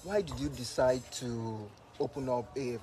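Halting male interviewer. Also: medium pitch. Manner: halting, filler-heavy questions. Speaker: reporter. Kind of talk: interview questions.

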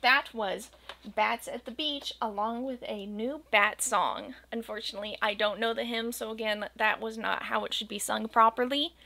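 A young woman with a slightly high voice reads a rhyming picture book aloud. Her delivery is gentle and expressive, following the verse's bouncing rhythm. She is recorded close to the camera in a small room at home. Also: moderate pace, gravelly. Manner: gentle, expressive storytelling cadence. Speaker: children's book reader. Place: small home room with bookshelves and green screen.

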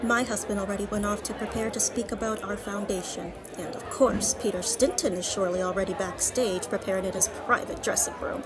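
A woman's voice: medium pitch, fairly even intonation, brisk, hardly any pauses, over loud music, natural voice. Woman acting a gushing, snobbish society wife. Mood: haughty, dismissive. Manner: gushing, condescending, self-absorbed. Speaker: supporting character. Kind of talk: fiction podcast acting. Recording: busy crowd chatter behind.

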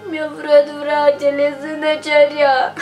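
A woman's voice: high-pitched, dark tone, close to an everyday voice. A woman playing a frightened little girl, crying and pleading in a sing-song wail in a children's fairy tale. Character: frightened little girl whose brother was stolen. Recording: echoey, distant, music behind.

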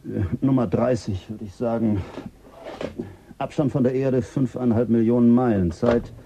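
A man as a dark-voiced mission director. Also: medium pitch, moderate pace, vocal fry, slight background noise, lightly acted. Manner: calm, dry, ironic. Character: weary male space mission director, family man. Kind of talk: radio play acting.

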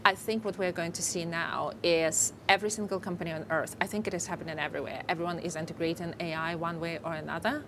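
A woman with a Ukrainian accent answers interview questions earnestly, laying out her points in steady lists. Her voice sits a bit low. A faint hum of noise is under her lapel mic. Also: fast, hardly any pauses. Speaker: guest, company AI lead. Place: studio set on a stock exchange floor.

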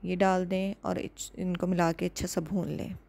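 Calm woman narrating a home recipe. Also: on the low side, gravelly. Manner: calm, instructive, measured. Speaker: cooking channel host. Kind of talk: step-by-step recipe voice-over.

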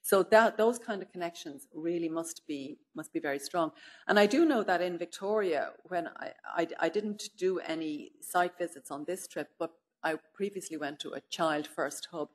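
A middle-aged woman giving a keynote lecture at a lectern, measured and thoughtful, often pausing with "if you like" and "um". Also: deep voice, a little hiss or hum. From Ireland. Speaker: keynote speaker. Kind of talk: lecture to an audience. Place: conference room, indoors. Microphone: far camera, speaking at a lectern.

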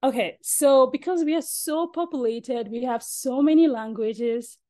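A woman's voice: high voice, unhurried, warm tone. A young woman from Nigeria walks listeners through a slideshow over a video call. Her delivery is friendly and conversational, with easy fillers and little asides. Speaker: PhD student presenting research. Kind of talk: informal presentation on a video call. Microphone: video call mic.

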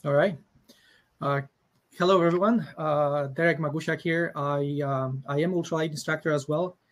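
A man's voice: a little high, fairly even intonation, moderate pace. A middle-aged man with a Polish accent introducing himself informally as an instructor. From Poland. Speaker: paragliding instructor, panelist. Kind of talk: informal self-introduction on a panel. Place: small room at home, indoors. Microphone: headset on video call.

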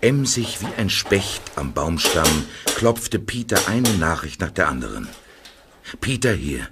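A man narrating a studio audio drama in a measured storytelling manner. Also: low-pitched, sing-song, very noisy, exaggerated voice. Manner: measured storytelling, acts out short quoted messages.

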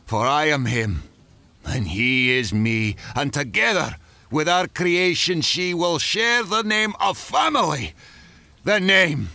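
A man playing an obsessed, remorseful mad scientist father in an audio drama, declaiming grandly and swinging from hushed to fervent. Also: a little high, animated, slow pace, vocal fry, no room sound, heavily acted. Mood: rising fervor. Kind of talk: theatrical audio drama monologue.

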